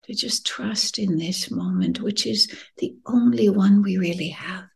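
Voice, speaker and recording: A woman leading a guided meditation in a gentle, soothing, breathy voice that often drops near a whisper, unhurried with long pauses. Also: medium pitch. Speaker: podcast host and meditation guide.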